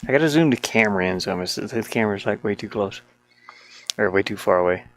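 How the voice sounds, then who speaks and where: A solo game developer thinking aloud in a casual, unscripted way, with a creaky voice and colloquial, mildly grumbling speech. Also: low voice, expressive, fast. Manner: muttering to self, grumbling mildly, colloquial 'ain't' and double negatives. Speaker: solo game developer narrating their work. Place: small warm room with a fan, indoors.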